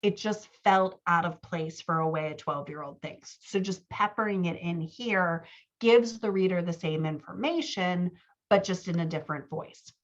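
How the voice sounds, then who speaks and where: A woman with a fairly low voice talks through edits as a writing coach. She is informal and explanatory, slipping into quoted example lines as she goes. The audio is a little dull and lo-fi. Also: normal speed.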